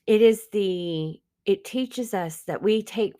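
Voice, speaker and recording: A woman's voice: medium pitch, slow pace, mellow, dry recording, studio quality. A woman who speaks English as a second language, hosting an informal spiritual self-help teaching, earnest and conversational, addressing listeners directly. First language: not English. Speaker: podcast host and spiritual business coach.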